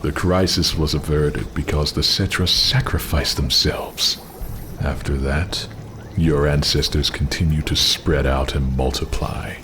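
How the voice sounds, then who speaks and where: Very deep, cold, haughty super-soldier. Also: male voice, steady tone, background noise. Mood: cold contempt. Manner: grave, deliberate, condescending. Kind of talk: dramatic video game cutscene acting.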